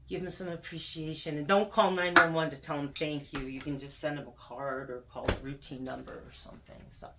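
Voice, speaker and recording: A woman's voice: deep voice, fairly even intonation, fairly quick, hardly any pauses, heavy background noise. A woman speaking as a video host, giving casual, emphatic advice straight to the viewer and repeating words for stress.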